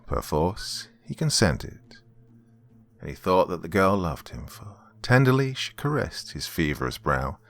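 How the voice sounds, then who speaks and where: A man reads a scripted gothic horror tale as narrator, with a formal, measured storytelling delivery. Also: low-pitched. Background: background music.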